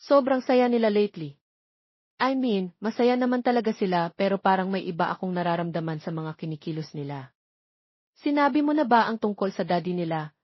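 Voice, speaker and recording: Woman playing caring, protective Filipino big sister, audio romance; husky voice, dry recording. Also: mid-range voice, fairly quick, no room sound, lightly acted. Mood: concerned. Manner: protective, concerned. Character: caring, probing older sister. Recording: dull, lo-fi but dry recording.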